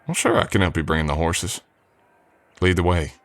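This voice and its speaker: A man playing a polite drifter cowboy hired on an Old West ranch, talking in an unhurried folksy drawl in an ASMR roleplay. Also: low-pitched, dry recording. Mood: polite, eager. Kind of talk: ASMR roleplay acting, addressing the listener.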